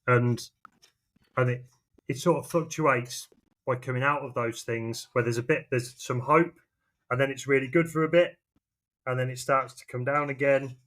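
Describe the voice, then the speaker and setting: A man's voice: mid-range voice, fairly even intonation, normal speed, a bit bright. A man, talking informally and in a rambling way as a podcast co-host. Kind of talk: casual chat.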